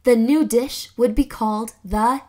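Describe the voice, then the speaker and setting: A young woman reading a children's story aloud in a theatrical, expressive storytelling manner. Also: on the high side, unhurried. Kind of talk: expressive scripted read-aloud narration for children.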